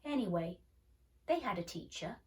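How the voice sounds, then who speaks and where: A woman's voice: medium pitch, animated, moderate pace, a little mellow, far from the mic, natural voice. A woman voicing a stubborn, scornful schoolgirl in a storybook, with an argumentative, doubtful manner. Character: stubborn, scornful schoolgirl who dislikes lessons.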